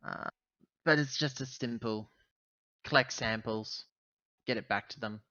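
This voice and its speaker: A man playing a roleplaying game, talking casually in character with halting, self-correcting explanations. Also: mid-range voice, pushed and bright, dry recording, highs cut off. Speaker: tabletop roleplaying player.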